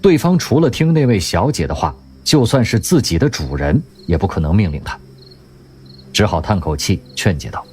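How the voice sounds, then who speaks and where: A man narrating an audio drama in an expressive storytelling manner. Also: low-pitched, normal speed, slightly rough.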